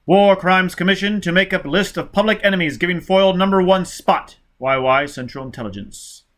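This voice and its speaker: An urgent official dispatcher acted out by a man, pushed higher than his natural register. He gives orders in clipped, commanding bursts, like a bulletin in an audiobook. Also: a little fast, fairly bright tone.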